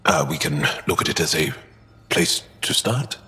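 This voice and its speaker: A man playing an earnest, guarded alien warrior and tinkerer in a video game, speaking haltingly and thoughtfully. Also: a bass voice, expressive, sharp tone, heavily acted. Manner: halting, thoughtful, measured delivery. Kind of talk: video game dialogue acting. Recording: reverberant room with music behind.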